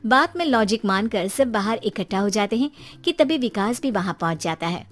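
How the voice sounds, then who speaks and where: A woman's voice: mid-range voice, lively intonation, normal speed, loud music behind the voice. A woman narrating a scripted story in a dramatic storytelling style.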